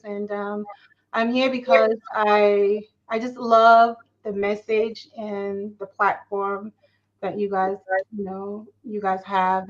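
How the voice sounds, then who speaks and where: A woman, a guest on a talk show, bubbly and quick to laugh, trailing into soft asides. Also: mid-range voice, lo-fi sound. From Ghana.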